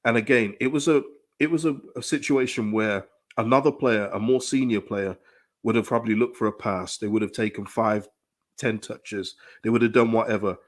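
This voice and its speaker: A man, hoarse from a dry throat, giving emphatic live-stream commentary on his football club, full of restarts and repetition. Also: lowish voice, normal speed. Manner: animated, emphatic, repeats phrases, frequent restarts. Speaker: football fan channel host. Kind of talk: live-stream fan commentary, reading chat.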